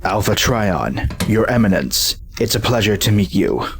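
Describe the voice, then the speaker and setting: A man playing a calm, dry-humored robot hero with an understated, earnest delivery. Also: fairly low pitch, a little slow, slight background noise. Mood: resolute.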